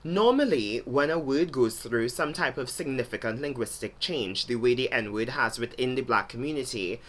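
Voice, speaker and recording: A man's voice: mid-range voice, animated, normal speed, over music. A young man with a Trinidadian accent, from Trinidad and Tobago, explaining his thoughts in a solo vlog in a thoughtful, explanatory way. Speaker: vlogger. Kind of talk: solo talk-to-camera vlog.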